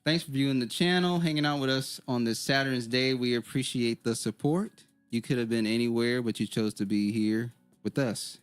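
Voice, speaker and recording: Casting: male podcast co-host, mid pitch, dry close sound, faint music under, lively and laughing. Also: normal speed, clear, resonant tone, no echo. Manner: animated, laughs often, acts out quotes. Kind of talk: casual chat.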